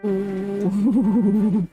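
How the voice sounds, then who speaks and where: A woman's voice: medium pitch, level tone, extremely slow, mellow, soft background music. A woman streamer reading a comic list aloud on a livestream, giggly and often breaking off into casual asides.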